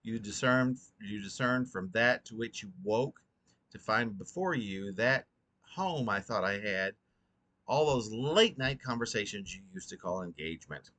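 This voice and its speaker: Deep-voiced man reciting poetry with dramatic swoops. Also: lively intonation, a little slow, fairly bright tone. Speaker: poet reading own poem.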